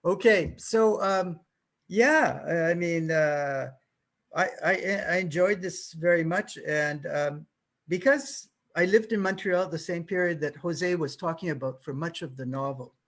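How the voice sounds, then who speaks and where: A man's voice: a little high, measured pace, fairly bright tone. A man in his sixties, a translator, answering panel questions in a modest, thoughtful way. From Canada.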